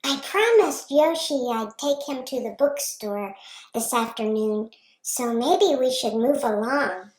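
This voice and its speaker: Woman performs a matter-of-fact little girl, slow and lilting, high voice. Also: lively intonation. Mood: chatty. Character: chatty little girl on the phone. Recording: roomy echo.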